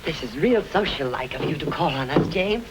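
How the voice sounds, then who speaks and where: An actress playing a hospitable, chatty older frontier wife with a fussing, homespun manner in an old-time radio Western. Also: female voice, low voice, animated, normal speed. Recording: noisy old radio drama recording.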